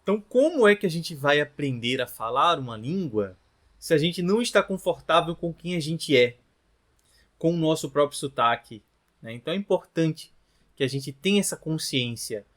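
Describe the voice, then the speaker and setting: Chatty Northeastern Brazilian man, higher voice. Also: expressive, moderate pace, a bit bright. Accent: Brazilian Portuguese, from Rio Grande do Norte. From Natal, northeastern Brazil. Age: 30s. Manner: chatty, ends phrases with tag questions. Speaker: language teacher. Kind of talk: informal lesson to camera.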